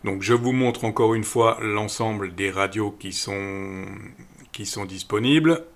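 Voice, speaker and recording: A middle-aged man calmly walking viewers through an app step by step, with frequent fillers. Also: on the low side, measured pace. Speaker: radio equipment channel host. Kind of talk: screen-recorded app tutorial voice-over.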